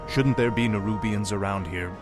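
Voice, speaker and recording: An actor playing a fallen prince turned death knight in a video game, cold, curt, commanding and scornful. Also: male voice, lowish voice, normal speed, close to an everyday voice. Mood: impatient. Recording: voice under loud game music.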